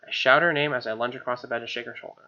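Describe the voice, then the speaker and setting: Young guy reading a visual novel out loud, crisp forward voice, bit muffled audio, music behind. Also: medium pitch, fairly even intonation, very fast. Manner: informal, with gamer slang. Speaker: video game let's player. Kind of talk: reading visual novel narration aloud with casual asides. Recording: slightly dull sound, music behind. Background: soft game music.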